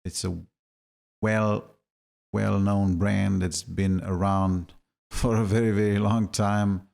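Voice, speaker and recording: A man with a deep, boomy voice giving a relaxed, chatty gear review full of filler words. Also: slow pace, gravelly. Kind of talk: casual product review.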